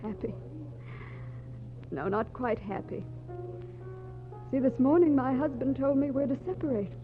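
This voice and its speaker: A woman acting a wistful, polite, soft-spoken married lady in a 1940s radio drama. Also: sits high, unhurried. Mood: sad, resigned. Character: wistful, well-mannered married lady in quiet heartbreak. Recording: muffled 1940s radio, music and hum behind.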